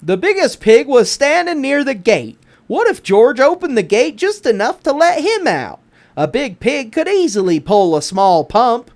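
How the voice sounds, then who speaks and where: A woman reads a picture book aloud for children. Her voice sits fairly high and swings widely up and down. She narrates expressively, stretching out words for emphasis. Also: big pitch swings, unhurried, a little mellow. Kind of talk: animated read-aloud bedtime story narration.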